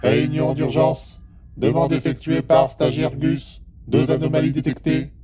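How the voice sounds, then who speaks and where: Un homme qui joue l'ordinateur impassible d'une station spatiale dans une fiction audio, avec des annonces neutres et hachées. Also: male voice, mid-range voice, a little slow, over loud music, close to an everyday voice. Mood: impassive. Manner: flat, clipped, impersonal announcements. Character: impassive male space-station computer announcer. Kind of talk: audio drama station announcement. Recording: muffled station loudspeaker sound.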